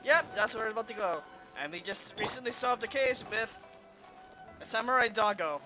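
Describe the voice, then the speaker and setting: A woman's voice: fairly high pitch, moderate pace. A woman giving casual, chatty commentary on a video game playthrough and offhandedly reading dialogue aloud. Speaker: gameplay commentator. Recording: faint game music behind the voice.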